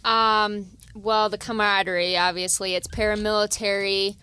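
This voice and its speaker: Woman police officer, light youthful voice, bright and crisp, dry close sound, soft fillers. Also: mid-range voice, flat delivery, normal speed, no room sound. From West Virginia. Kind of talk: casual interview.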